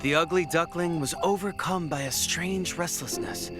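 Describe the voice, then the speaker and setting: Slightly high-voiced man narrating a children's story. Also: moderate pace. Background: music.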